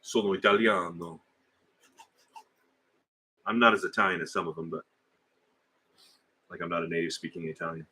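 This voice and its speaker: A man's voice: low-pitched, steady tone, normal speed, pauses often, bright, forceful tone, breathy edge. A middle-aged man hosting a casual livestream, rambling and conversational with frequent fillers as he answers viewer comments. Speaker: livestream host. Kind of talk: casual live chat reading viewer comments.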